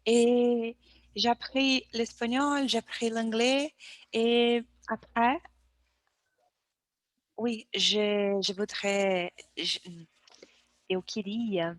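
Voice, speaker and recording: A woman's voice: fairly high pitch, steady tone, drawn-out words, pauses often, dry recording. A woman practicing French, hesitating, searching for words and repeating herself. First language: Portuguese. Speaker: language learner. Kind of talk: conversational language practice.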